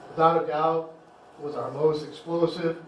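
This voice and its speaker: A man who coaches high school football, giving a heartfelt banquet speech, halting and repeating words as emotion catches him. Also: fairly even intonation. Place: banquet hall. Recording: echoey hall, distant mic, faint noise.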